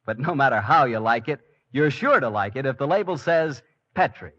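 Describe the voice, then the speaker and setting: An American man working as a radio announcer and host, delivering introductions and sponsor pitches in a polished, folksy 1940s style. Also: expressive, normal speed, noisy background. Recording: dull vintage 1940s radio recording.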